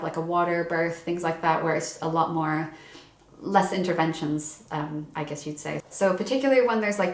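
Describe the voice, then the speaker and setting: A young woman talks in a relaxed, rambling vlog, stopping and restarting her sentences with many fillers. Her voice sits low and stays fairly level. The room around her adds a clear echo. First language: English. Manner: chatty, lots of fillers and restarts. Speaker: vlogger. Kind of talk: casual chat. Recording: echoey room.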